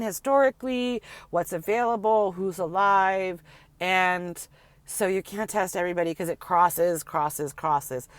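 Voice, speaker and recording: A woman's voice: low-pitched, dry recording. A middle-aged woman ranting casually to camera, rambling and emphatic, repeating words for effect. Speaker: vlogger.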